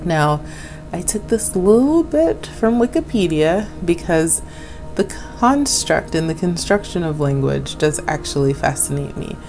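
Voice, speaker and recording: A woman hosting her own vlog, talking in a gentle, affirming way with small laughs, raised in Jamaica. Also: lowish voice, big pitch swings, unhurried, soft background music. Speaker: channel host. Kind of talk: casual vlog talk.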